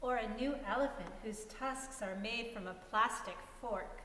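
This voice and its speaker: A woman playing a young boy who narrates a storybook in a measured way. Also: fairly high pitch, bright, forceful tone. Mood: earnest narrating. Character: young boy recounting his friendship with an old carver. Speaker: reader in a dramatic story reading. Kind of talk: dramatic storybook reading. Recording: echoey hall, faint room noise.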